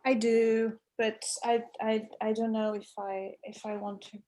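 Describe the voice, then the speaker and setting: A woman's voice: fairly high pitch, little pitch movement, a little slow, warm tone, a little hiss or hum. Hesitant woman from Portugal on a call. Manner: hesitant, many ums and restarts. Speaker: guest. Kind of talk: casual video-call chat. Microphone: video call.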